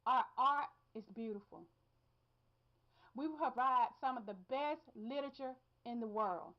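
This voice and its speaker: A woman's voice: a little high, measured pace, lo-fi sound. A woman with a Southern US accent, a radio host giving earnest, proud commentary outdoors in a large crowd. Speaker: radio show host reporting. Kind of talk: reflective spoken commentary.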